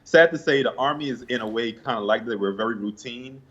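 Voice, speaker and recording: A young man from Guyana answering interview questions in a relaxed, laid-back way with hesitant fillers. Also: medium pitch, brisk, breathy edge, highs cut off. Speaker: interview guest, bodybuilder.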